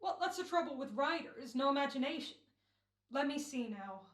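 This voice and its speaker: Radio comedy: bossy, wry, self-assured director played by a woman, highish voice in a roomy echo. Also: expressive, normal speed. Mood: bossy, impatient. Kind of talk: old-time radio comedy acting.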